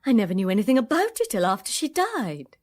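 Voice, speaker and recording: An actress playing a bitter, guarded young woman in a radio drama, with an English accent and a hesitant, halting way of confiding. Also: on the high side, wildly swooping pitch, darkish tone. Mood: guarded, confessing. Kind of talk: full-cast radio drama acting.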